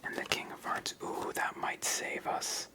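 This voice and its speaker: A host whispering ASMR commentary while playing a solo card game, explaining each move step by step in an unvoiced whisper. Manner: slow, step-by-step narration of moves.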